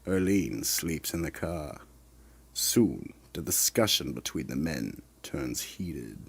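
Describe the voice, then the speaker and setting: A man with a gravelly, husky voice narrating a scripted radio mystery drama. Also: very deep voice, expressive, slow pace.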